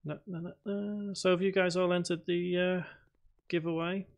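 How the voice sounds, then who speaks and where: A man's voice: high voice, little pitch movement, normal speed, vocal fry. A man hosting a casual live stream, thinking aloud as he codes and reading chat questions aloud. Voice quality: self-described boring voice. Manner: talks to himself while typing, reads chat aloud.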